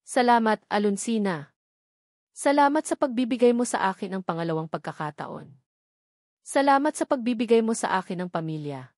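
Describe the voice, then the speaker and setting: A woman's voice: a little high, expressive, normal speed, darkish tone, dry recording, studio quality, close to an everyday voice. A woman with a Filipino accent voicing a tender, grateful bridegroom in a romance story, heartfelt and earnest. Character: tender, grateful man in love, a devoted bridegroom.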